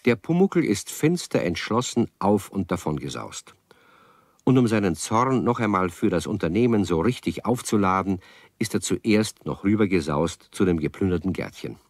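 A man's voice: lowish voice, very animated, moderate pace, no echo, clean studio sound. A man narrating a children's radio play as its storyteller, in scripted storytelling style. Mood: animated, relaxed.

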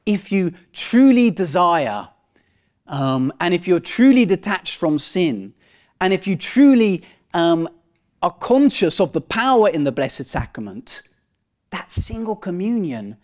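Young priest guy in his 30s giving an earnest sermon, voice a bit on the high side, leans on key phrases. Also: darkish tone. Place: parish church, indoors. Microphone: lectern microphone at chest height.

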